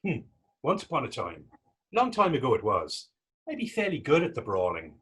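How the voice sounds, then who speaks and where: Man acting a husky, swooping Irish taunter. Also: mid-range voice, wildly swooping pitch, moderate pace, a bit bright, exaggerated voice. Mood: wry, teasing. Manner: mocking, needling, wordy old-fashioned dialect. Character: taunting, defiant Irish man needling a policeman. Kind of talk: readers theater stage acting.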